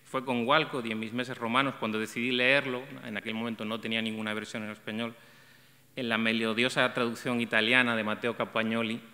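A middle-aged man reading a prepared essay aloud at an arts center event, measured and reflective, with small restarts. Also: medium pitch, flat delivery, sharp tone. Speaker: event coordinator presenting a talk on translation. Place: arts center stage, seated at a table. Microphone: table microphone. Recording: long hall reverb.

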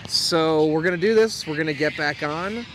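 A man's voice: a little high, normal speed. Casual male vlog host, noisy outdoor recording. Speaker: host and interviewer. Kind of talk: casual vlog chat and interviewing. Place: outdoors at a rest stop by the beach. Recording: clear outdoor urban and vehicle noise.

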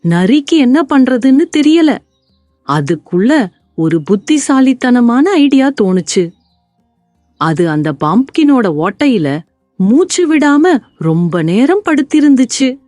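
A woman narrating a scripted children's story in an expressive, deliberate way. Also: high-pitched, dry recording, clean studio sound, soft background music.

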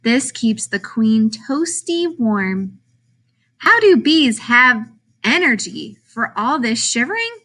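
A woman reads a picture book aloud for young children in a high, bright voice. Her delivery is very animated, swooping up and down, with emphatic questions and answers. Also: slow pace. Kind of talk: expressive children's read-aloud narration.

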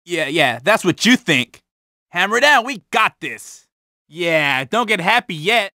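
A cocky, animated young street-racer car. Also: male voice, high-pitched, takes it slowly, no room sound, clean studio sound, heavily acted. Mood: smug, boastful. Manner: slangy, boastful, exclamatory. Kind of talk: video game character barks.

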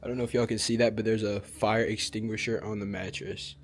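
A young man casually vlogging while he explores an abandoned school, talking straight to his viewers in relaxed slang. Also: lowish voice, fairly quick. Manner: laid-back slangy, keeps addressing viewers. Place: abandoned school, indoors and on roof. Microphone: handheld camera at arm's length.

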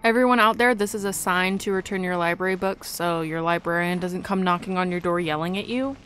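A woman's voice: on the low side, fairly quick, a bit bright. A young adult woman giving casual gameplay commentary, chatty, reactive and playfully incredulous. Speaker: gaming YouTuber. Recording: game music behind the voice.

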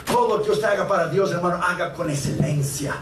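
A middle-aged man preaching a sermon as an evangelist, passionate and rising to loud cries of praise, calling his listeners brother. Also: high-pitched, a little fast, slight background noise. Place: church hall with congregation. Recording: roomy hall miked from a distance.

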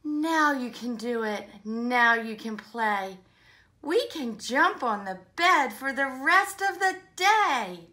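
A woman's voice: fairly high pitch, expressive, slow pace, pushed and bright, pitched above the performer's own voice, heavily acted. A woman voicing a playful, pleading, bossy young boy monkey in a children's storybook, coaxing with emphatic rhythm. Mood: pleading, eager. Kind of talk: children's storybook read-aloud.

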